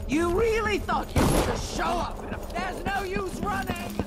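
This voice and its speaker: An actor playing a sinister, zealous cult servant, taunting and threatening in a video game. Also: male voice, extremely high pitch, animated, unhurried. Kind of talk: video game combat barks. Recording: loud music behind. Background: loud game music.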